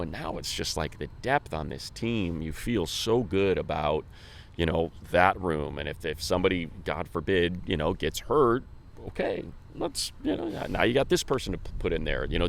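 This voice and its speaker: A man's voice: sits low, creaky, a little hiss or hum. An American man hosting a sports show, talking casually in a rambling, slangy way. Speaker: sports radio host.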